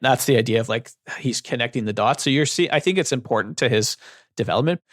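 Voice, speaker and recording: Young man, relaxed book-talk host; close dry mic, loose rambling delivery. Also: mid-range voice, fast talker, no room sound. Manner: relaxed, rambling with many fillers. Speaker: book club podcast co-host. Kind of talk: casual book discussion.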